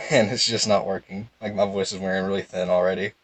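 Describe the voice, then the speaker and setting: Young dude chatting over a game, calm low voice, pretty monotone, no room echo. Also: male voice, fairly quick, husky. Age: young adult. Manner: monotone, laid-back. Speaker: gaming video host. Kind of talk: casual, rambling game commentary.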